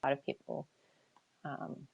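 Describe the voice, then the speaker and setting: An American woman chatting casually as an author on a livestream Q&A, thinking aloud in a halting, reflective way and often trailing off. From New Hampshire seacoast, United States. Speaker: author answering audience questions. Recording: dull lo-fi livestream audio.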